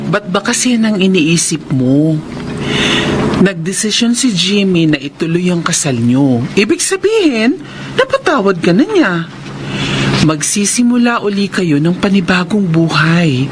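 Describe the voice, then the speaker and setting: A woman playing a bride's excitable, insistent, encouraging young friend in a radio drama. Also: fairly low pitch, measured pace. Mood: cheerful, reassuring. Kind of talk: Tagalog radio drama acting. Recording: noisy background, car-like ambience.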